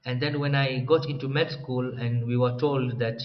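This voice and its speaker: A young man from Tanzania, a doctor training in psychiatry, explaining his career path as an interview guest in a relaxed way. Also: mid-range voice, moderate pace. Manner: explanatory, relaxed, clears throat often. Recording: slightly dull, faint room noise.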